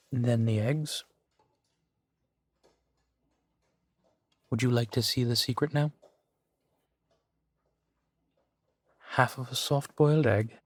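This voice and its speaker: Man playing a slow-talking, genteel old vampire duke. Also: medium pitch, no room sound. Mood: pleased, doting. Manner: unhurried, theatrical, savoring pauses with soft chuckles. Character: centuries-old male vampire duke, genteel, amused, doting host. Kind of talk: intimate roleplay audio drama.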